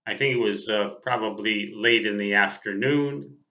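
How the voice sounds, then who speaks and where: An American man in his sixties reminiscing casually as a host, speaking in a deliberate, precise way. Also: on the low side, sharp tone. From Minnesota, United States. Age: 60s. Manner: deliberate, precise, spells out terms phonetically. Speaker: host, amateur radio operator. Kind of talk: casual reminiscing monologue to viewers. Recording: dull, lo-fi sound.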